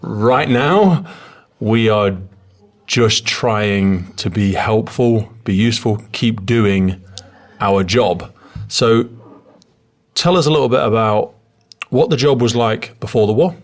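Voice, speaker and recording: A man speaking as a podcast host in an earnest, measured way, moving between scripted introduction and interview talk. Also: on the low side.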